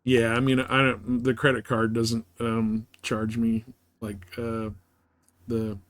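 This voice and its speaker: A man's voice: medium pitch, monotone, slow pace. A man chatting casually and thinking aloud while playing on a gaming livestream, in a rambling, colloquial way. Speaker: live streamer playing a space game.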